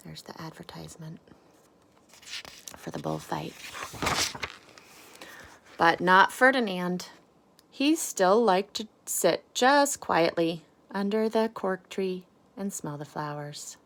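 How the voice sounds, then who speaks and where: A woman reading a children's storybook aloud with casual asides, in deliberate phrases with emphasis on key words. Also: fairly low pitch, expressive, gravelly, noisy background. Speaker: storybook reader.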